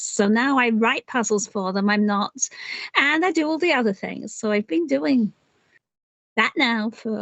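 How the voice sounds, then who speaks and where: A middle-aged woman with an English accent talks freely about her work, stopping and restarting mid-sentence. Her voice sits high, and the close mic gives a dry sound with little room around it. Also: no echo, poor audio. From England. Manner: chatty, rambling with restarts and small laughs. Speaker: author and puzzle writer. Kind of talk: casual interview answers.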